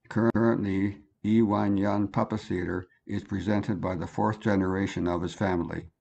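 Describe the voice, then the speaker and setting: Male narrator for educational voice-over: deep, fry-heavy, scripted read. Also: mellow, lo-fi sound.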